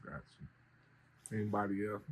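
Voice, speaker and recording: A man's voice: fairly low pitch, moderate pace, a crowd in the background. A man hosting a casual interview, laid-back and asking questions with short replies in between. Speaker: host.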